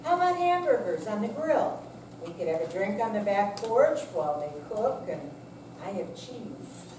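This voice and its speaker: A woman playing a worried, gently nagging elderly wife in a staged reading, heard from a distance in an echoey room. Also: medium pitch, sing-song, moderate pace, natural voice. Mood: accommodating. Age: older. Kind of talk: staged reading of a short play. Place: senior center room with audience, distant mic. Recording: echoey hall from far off, noisy.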